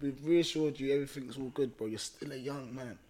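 A man's voice: medium pitch, brisk, a little mellow. A man co-hosting a casual podcast, reading viewer comments aloud with a teasing edge and frequent laughter. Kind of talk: casual banter.

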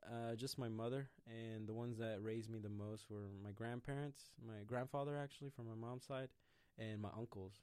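A man's voice: mid-range voice, steady tone, normal speed, hardly any pauses, darkish tone, smooth, dry recording. A young man from Mexico, co-hosting a podcast in loose, joking chat, often laughing and trailing off. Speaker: podcast co-host. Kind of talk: casual chat.

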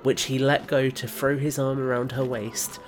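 A man's voice: medium pitch, level tone, moderate pace. A man reading scripted third-person narration as the narrator of an audio drama.

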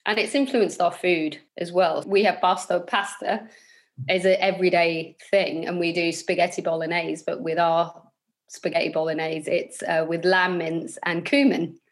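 A woman's voice: lowish voice, flat delivery, normal speed. A woman with a London accent, a guest in a casual interview, talking thoughtfully with fillers and restarts. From England.

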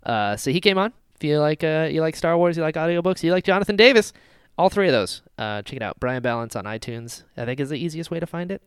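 Guy podcast co-host, jokey casual chat, really animated pitch, dry clean sound. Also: quick pace, clear, resonant tone, dry recording. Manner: jokey banter.

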